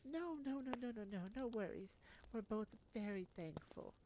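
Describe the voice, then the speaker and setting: A woman's voice: mid-range voice, big pitch swings, moderate pace, warm tone, voice pushed up. An earnest princess played by a woman pleads her case in a fantasy audio drama. She is polite, formal and a little hesitant, with faint noise behind her. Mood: grateful, eager. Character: earnest, eager princess on a quest, deferential. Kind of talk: amateur fantasy audio roleplay.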